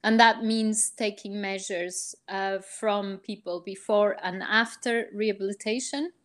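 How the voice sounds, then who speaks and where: A woman from Portugal, a research psychologist explaining her work as a guest in a podcast interview, measured and full of ums and rephrasings. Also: medium pitch, steady tone, fairly bright tone.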